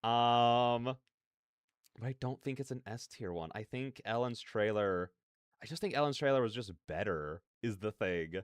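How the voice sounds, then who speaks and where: A man's voice: medium pitch, moderate pace, smooth, no room sound, studio quality. A man hosting a reaction video, giving casual, rambling commentary as he thinks aloud. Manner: rambling, peppered with "like" and "you know".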